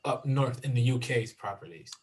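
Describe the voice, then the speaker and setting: A man hosting a casual conversation, with a voice of ordinary middle pitch. He wanders through his points and sprinkles "you know" throughout as he thinks aloud. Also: moderate pace, slightly rough. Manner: animated, discursive, lots of "you know". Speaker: host.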